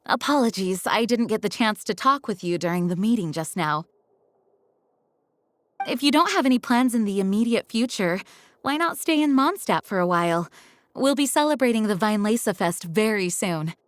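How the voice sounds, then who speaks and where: A woman voicing a composed, dutiful knight leader in a game cutscene, speaking in a calm, formal and measured way. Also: mid-range voice, slight background noise, close to an everyday voice. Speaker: acting grand master.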